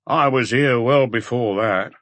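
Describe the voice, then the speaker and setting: A man's voice: mid-range voice, measured pace, a bit bright, no room sound, close to an everyday voice. A man voicing a tired, slovenly hotel owner with blunt, curt answers, in an audiobook. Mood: gruff, weary. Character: unshaven, tired, gruff male hotel owner.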